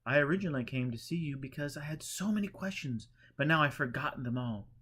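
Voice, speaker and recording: An eager, muscular fellow full of joy and gratitude, acted out by a male narrator in a storybook reading. His voice is pushed high and gushes with earnest excitement. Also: quick pace, husky. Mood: grateful, eager. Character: eager, muscular reformed drinker, joyful and grateful man. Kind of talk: audiobook character voice.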